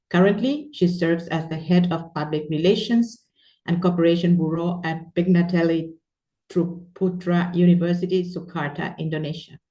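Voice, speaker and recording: Mellow-voiced woman moderator, slightly distant. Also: fairly low pitch. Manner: hesitant, with fillers and restarts. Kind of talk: introducing speakers at an online event.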